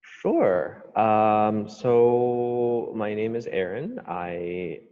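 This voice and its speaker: A man from Canada, guest author on a podcast interview, speaking thoughtfully with many pauses and fillers. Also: lowish voice, big-room sound, phone-quality sound.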